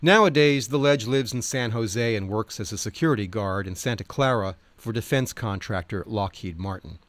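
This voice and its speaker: A man reading scripted nonfiction in a wry, expressive storytelling manner. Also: on the low side, moderate pace, dry recording. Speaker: audiobook reader. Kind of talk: scripted nonfiction narration with acted quotes.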